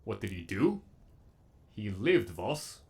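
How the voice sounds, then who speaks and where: A man's voice: lowish voice, very animated, slow pace, creaky, heavily acted. A man voicing a sadistic, erudite robot villain with an eloquent, menacingly polite delivery, as in a comic dub. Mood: cold, brisk.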